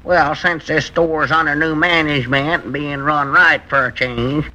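An actor playing a naive, grumbling small-town storekeeper with a rural Southern American accent, querulous and folksy, in 1940s radio comedy style. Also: male voice, fairly high pitch, fairly even intonation, unhurried, bright, forceful tone. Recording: noisy old 1940s radio broadcast.

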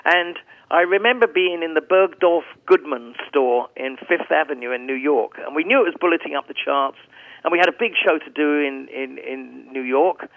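Older male singer in his 70s, gravelly, slightly raised pitch, chatty storyteller; tinny phone line, dry. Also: moderate pace, no echo. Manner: chatty, rambling with restarts and anecdotes. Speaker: guest singer. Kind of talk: casual interview chat.

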